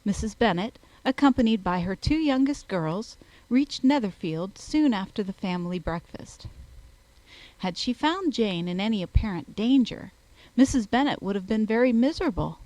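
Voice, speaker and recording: A woman's voice: mid-range voice, animated, normal speed, a little mellow, no echo, rough recording. Woman narrating a classic novel. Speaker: audiobook narrator.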